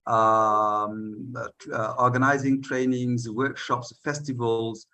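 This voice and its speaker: A man from northern Senegal speaking on a panel, hesitant, with many fillers and pauses to find his words. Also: mid-range voice, little pitch movement, pushed and bright. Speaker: panel speaker, eco-village leader. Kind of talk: unscripted speech on a panel.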